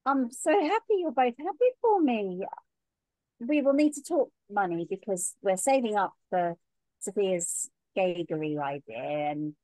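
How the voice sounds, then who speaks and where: A woman's voice: medium pitch, animated, measured pace, a little mellow, no room sound, lightly acted, poor audio. A woman reading the part of a nervous, giggly young woman who tells her parents about her engagement, in a read-through over a video call. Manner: hesitant, giggly.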